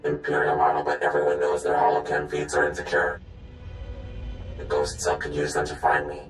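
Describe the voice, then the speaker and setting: Game casting: a paranoid informant, extremely low flat voice, demanding and guarded, echoing. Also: male voice, fairly quick, faint music behind. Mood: suspicious, guarded. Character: wary, paranoid male informant hiding from killers. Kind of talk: video game dialogue.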